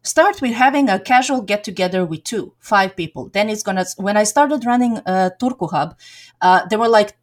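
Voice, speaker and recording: A woman's voice: mid-range voice, moderate pace. A middle-aged woman originally from Serbia, answering in a casual interview as a game community organizer, talking in a chatty way with many fillers and restarts.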